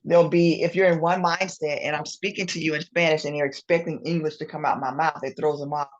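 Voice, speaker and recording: A woman in her thirties with a Southern US twang and a low, deep voice. She chats easily from a couch at home, laughing often and trailing off softly. The call audio sounds muffled and dull. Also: steady tone, fast. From Atlanta, Georgia. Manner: chatty, laughs often, drops into a soft low voice. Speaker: guest. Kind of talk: casual video-call interview. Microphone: video call.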